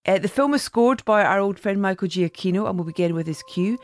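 A woman hosting a podcast, reading a scripted introduction in a measured, announcing way. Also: mid-range voice. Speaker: podcast host.

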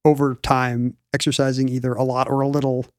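A man's voice: medium pitch, level tone, fairly quick, clean tone, dry recording, clean studio sound. A man in his forties explaining history in casual conversation, rambling and often searching for the right word. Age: 40s. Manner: rambling, searches for words mid-sentence.

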